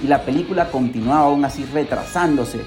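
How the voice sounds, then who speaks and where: A man narrates as a podcast host, with music behind his voice. His delivery is casual and animated, like someone telling a tale to friends, peppered with rhetorical questions and asides. The pitch sits in an ordinary middle range. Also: brisk. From Peru.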